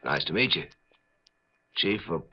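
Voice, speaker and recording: An actor playing a mild, polite, easygoing painter in a 1950s radio drama. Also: male voice, low-pitched, expressive, measured pace, breathy edge, exaggerated voice. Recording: muffled 1950s radio recording.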